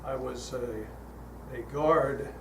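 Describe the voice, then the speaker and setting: An older man speaking as a guest at a church, telling stories in a relaxed, chatty way with frequent fillers, his voice calm. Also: mid-range voice, drawn-out words, vocal fry. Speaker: guest speaker leading a guided meditation. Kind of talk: storytelling talk to a congregation. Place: church hall. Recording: echoey hall, heard from a distance, noisy.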